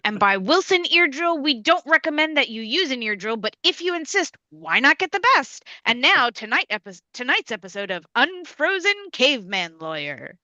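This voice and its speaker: A woman playing a mock television announcer, reading a scripted comedy intro and sponsor plugs with deadpan salesmanship. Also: high voice, normal speed, hardly any pauses, dry recording, lo-fi sound, heavily acted. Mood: deadpan, announcing.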